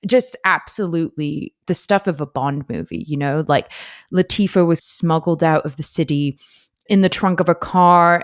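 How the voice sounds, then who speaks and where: A woman's voice: deep voice, no room sound, studio quality. A woman podcast co-host from Dubai with a mixed international accent, British-leaning with American touches, telling stories conversationally. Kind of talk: casual conversational storytelling.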